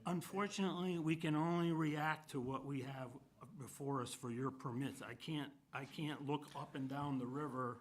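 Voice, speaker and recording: Matter-of-fact, higher-voiced man on a commission. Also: steady tone, normal speed. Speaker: commission member. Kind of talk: informal explanation at a public meeting. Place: indoor municipal meeting room. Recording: noisy town meeting room.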